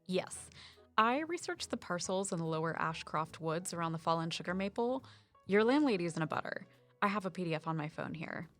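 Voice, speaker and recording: Wry, low-pitched woman conservationist, acted by a woman. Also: expressive, brisk, soft background music, close to an everyday voice. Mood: informative. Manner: informative, wry. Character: brisk, knowledgeable woman conservation officer, friendly sleuthing sidekick. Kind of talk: naturalistic audio drama dialogue.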